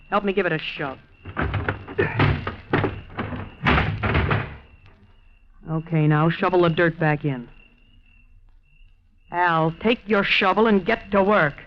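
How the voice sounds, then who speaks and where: Brazen, callous killer, muffled old radio. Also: female voice, lowish voice, expressive, measured pace, long pauses. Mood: bossy, impatient. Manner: slangy, scornful, bossy. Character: brazen, callous female killer, bossy and greedy. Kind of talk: 1940s radio acting. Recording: muffled, noisy 1940s radio recording.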